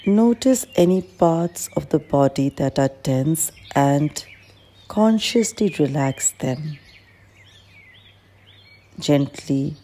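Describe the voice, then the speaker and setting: A woman leading a guided meditation as an instructor, speaking in a calm, gentle, measured manner. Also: low voice. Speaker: clinical psychologist guiding a meditation. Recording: faint background noise with birdsong.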